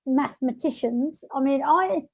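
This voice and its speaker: A woman's voice: high-pitched, moderate pace. A woman talking in an informal, chatty way as she hosts a casual podcast. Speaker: podcast host. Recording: dull lo-fi audio.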